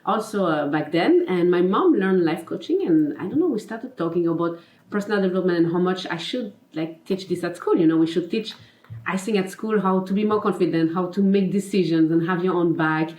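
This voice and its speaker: A woman's voice: on the low side, a little fast, a little mellow, echoey room, rough recording. A woman from Geneva with a French accent, a confidence and life coach chatting casually as an interview guest, laughing often and filling with "you know" and "like". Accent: French-speaking Swiss. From Geneva, Switzerland.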